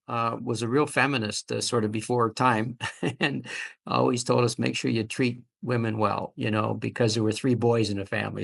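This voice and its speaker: A man in his seventies answering as an interview guest, speaking conversationally and haltingly, often repeating words as he reflects. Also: medium pitch, vocal fry, fairly bright tone. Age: 70s. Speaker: fertility doctor, interview guest.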